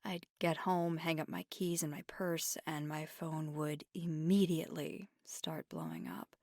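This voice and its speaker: Woman acting as a flirty vampire gf asmr, soft and whispery, slow w lots of vocal fry, playful n affectionate. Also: low-pitched, expressive, no room sound, clean studio sound, heavily acted. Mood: rueful.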